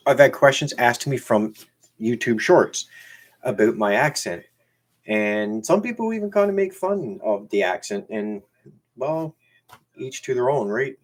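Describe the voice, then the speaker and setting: Man with a Newfoundland accent, somewhat low voice, hosting a casual live stream with stop-start delivery. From Newfoundland, Canada. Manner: halting, repeats words and restarts. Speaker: YouTube channel host. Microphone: camera used as webcam.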